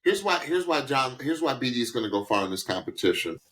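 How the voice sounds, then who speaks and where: Animated male podcast co-host, widely swinging pitch. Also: fast. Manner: animated, emphatic, repeats phrases for effect. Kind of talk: casual unscripted reaction commentary.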